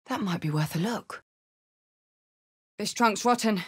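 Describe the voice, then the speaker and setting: A woman's voice: mid-range voice, unhurried, breathy edge. A woman playing a tough, battle-scarred female warrior in a fantasy video game, blunt, wry and defiant in manner. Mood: observant, wry.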